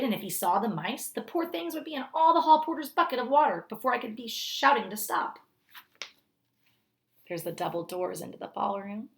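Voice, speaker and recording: Woman reading a children's story aloud. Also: medium pitch. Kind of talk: children's story read-aloud with brief asides.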